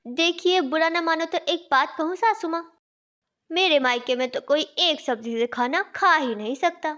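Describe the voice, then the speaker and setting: Woman voicing a boastful young daughter-in-law, high and showy. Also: normal speed, pushed and bright. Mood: boastful, complaining. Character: boastful young daughter-in-law bragging about her parents' home. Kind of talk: Hindi cartoon story voice acting.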